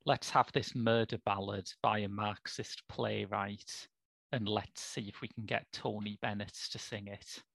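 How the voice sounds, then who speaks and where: A man's voice: lowish voice, steady tone, a little slow, gravelly, a bit bright, no echo. A man co-hosting a casual podcast chat, with a dry, wry sense of humor and a thoughtful way of talking. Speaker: podcast co-host.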